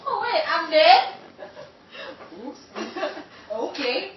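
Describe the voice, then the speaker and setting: A woman jokes and laughs between takes of an amateur radio play. She talks slowly, and her high voice swoops widely. The recording is distant, echoey, dull and noisy. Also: very animated. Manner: laughing, playful. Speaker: amateur radio drama performer. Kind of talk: casual chat between takes.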